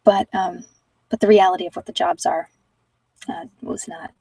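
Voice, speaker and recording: A woman speaks softly in a casual livestream chat, often dropping toward a whisper, rambling reflectively and trailing off mid-thought. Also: fairly low pitch, steady tone, normal speed, gravelly, slightly rough, no echo, far from the mic, poor audio. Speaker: ASMR meditation host.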